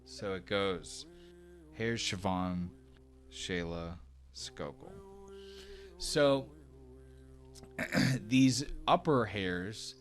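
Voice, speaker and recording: Low-voiced man running a tabletop game. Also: extremely slow, long pauses. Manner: conversational, explanatory. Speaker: game master of a tabletop role-playing game. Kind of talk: unscripted tabletop game narration and rules talk. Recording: music playing behind the voice.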